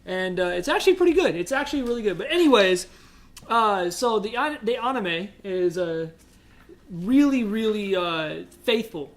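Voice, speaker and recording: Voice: mid-range voice, animated, moderate pace. Casual podcast co-host with emphatic delivery.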